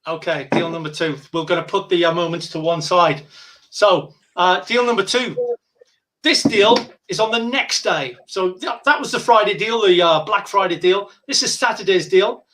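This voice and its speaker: Guy rambling thru whisky deals, lots of ums and restarts, highish voice. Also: moderate pace, a bit bright. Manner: chatty, rambling, frequent fillers and restarts. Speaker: whisky brand ambassador presenting deals. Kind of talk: casual live-stream sales chat.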